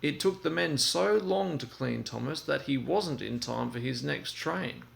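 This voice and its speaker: A man reading a children's story aloud in an expressive storytelling style. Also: a little high, a little slow, vocal fry, slight background noise.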